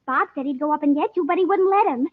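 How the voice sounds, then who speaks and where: An actress playing an eager, excitable young girl, gushing and wide-eyed, in an old-time radio serial. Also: high-pitched, fast talker, close to an everyday voice. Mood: eager, insistent. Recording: tinny, band-limited old radio recording.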